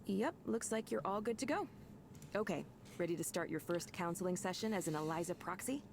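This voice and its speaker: An actress playing a chatty, upbeat supervisor in a visual novel game, talking in brisk, reassuring corporate orientation patter. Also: female voice, on the low side, animated, darkish tone, a little hiss or hum.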